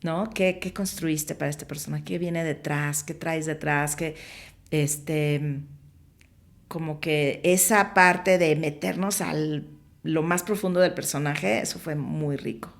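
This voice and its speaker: A woman's voice: sits low, slow pace, gravelly. A middle-aged woman with a Mexican Spanish accent, a film producer chatting in an interview, telling stories with lots of restarts and fillers. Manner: animated storyteller, many restarts and fillers. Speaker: film producer and director, podcast guest. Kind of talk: casual conversational interview.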